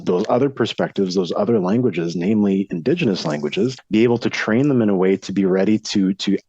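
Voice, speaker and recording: A man's voice: low voice, brisk, mellow, slightly rough, lo-fi sound. A man who is a psychology student volunteer, talking enthusiastically and at length in an interview. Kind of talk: interview answers. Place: Winnipeg.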